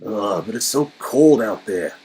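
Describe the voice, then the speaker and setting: A man's voice: on the low side, expressive, unhurried, darkish tone, slightly rough, heavily acted. A man voicing a whiny, boastful guard who bickers defensively, from a game story.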